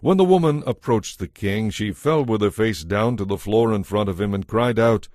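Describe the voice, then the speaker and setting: A man's voice: lowish voice, normal speed, darkish tone, no echo, clean studio sound. A man giving a solemn, scripted dramatic narration of scripture as storyteller.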